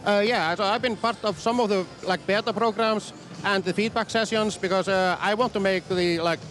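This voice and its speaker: A man from Iceland, a network engineer, answering interview questions in a halting, hesitant way. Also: sits high, fairly even intonation, fairly bright tone, soft background music, low-quality recording.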